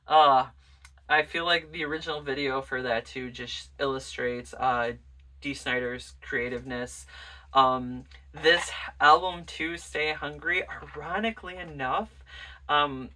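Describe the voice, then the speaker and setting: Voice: medium pitch, sharp tone, distant mic. A vlogger chatting casually and informally in a rambling way as host of a music collection show. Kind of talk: casual rambling vlog chat.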